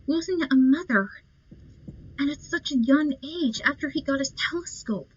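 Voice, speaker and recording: A woman's voice: high-pitched, normal speed, darkish tone, a little hiss or hum. A woman giving casual commentary as she plays through a video game. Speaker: gamer presenting a playthrough. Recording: dull lo-fi mic.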